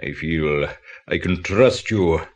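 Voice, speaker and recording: A man's voice: low voice, slow pace. An older man performing in a 1980s audio play as a courteous, theatrical actor who speaks expansively. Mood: confiding, indignant. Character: theatrical older male actor who has lost his parrot.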